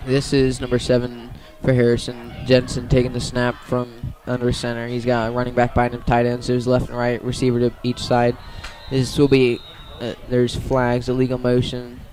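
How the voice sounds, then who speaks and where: Monotone American man calling football play-by-play. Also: mid-range voice, moderate pace, hardly any pauses. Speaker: play-by-play commentator. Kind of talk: live radio football play-by-play.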